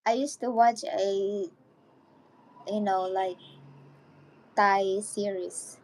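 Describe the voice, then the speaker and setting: A woman's voice: mid-range voice, fairly even intonation, drawn-out words, darkish tone, a little hiss or hum, low-quality recording. A woman with a Filipino accent co-hosting a casual live chat, teasing and peppering her English with Tagalog tags. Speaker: co-host.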